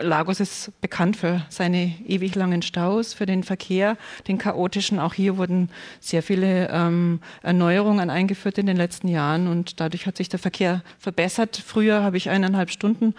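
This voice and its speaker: A woman's voice: fairly low pitch, darkish tone. A woman from Europe speaking as an interview guest, giving conversational answers.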